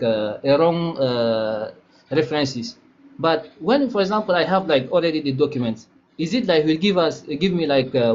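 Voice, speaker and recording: A man's voice: a little high, darkish tone. Hesitant, polite male caller. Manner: hesitant, many fillers, polite. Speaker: caller, master's student. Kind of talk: asking a question on a live call. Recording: lo-fi call with faint background noise.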